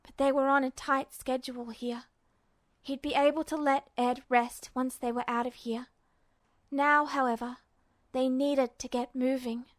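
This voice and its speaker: A woman reading fiction aloud as an expressive, scripted audiobook narration. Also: sits high, unhurried, dry recording, studio quality. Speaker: fan fiction audiobook reader.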